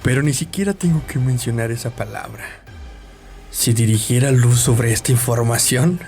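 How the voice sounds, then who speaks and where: A sly male nurse with a mid-pitched voice played by an actor, gloating and insinuating. He taunts like a confident blackmailer in an urban fantasy audio drama, over faint music. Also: moderate pace, gravelly, darkish tone, lightly acted. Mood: sly menace. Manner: smug, mocking, insinuating. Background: background music.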